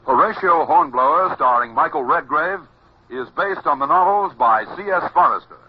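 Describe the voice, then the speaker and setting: A male announcer reading show credits in a formal, deliberate manner. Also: on the high side, lively intonation, husky. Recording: muffled old radio broadcast.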